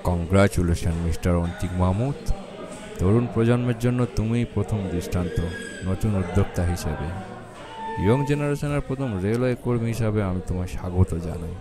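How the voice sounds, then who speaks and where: A man's voice: deep voice, music in the background, lightly acted. A man playing a courteous, fatherly senior railway officer in a cartoon story, speaking formally with English words mixed in. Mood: formal, welcoming. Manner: formal, measured, peppers speech with English. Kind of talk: dramatised audio story dialogue.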